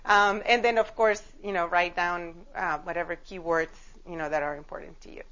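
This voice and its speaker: A woman's voice: lowish voice, fairly even intonation, fairly quick, a bit bright. Woman leading a workshop, muffled live recording. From Costa Rica. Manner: conversational, engaging listeners with questions. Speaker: workshop leader, child psychotherapist and art therapist. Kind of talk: informal workshop talk to a live group. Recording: dull lo-fi live room recording.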